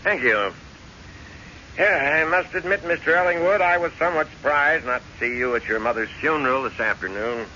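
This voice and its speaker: An actor playing a formal old family lawyer in a radio drama, stiff, courteous and precise. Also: male voice, fairly high pitch, moderate pace, bright, forceful tone. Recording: muffled old radio recording, faint noise.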